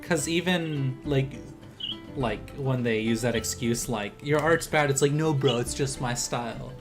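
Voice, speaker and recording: A man's voice: mid-range voice, measured pace. A young man giving a casual, encouraging live art critique in an informal way as a streamer. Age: young adult. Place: indoor home desk setup. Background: music behind the voice.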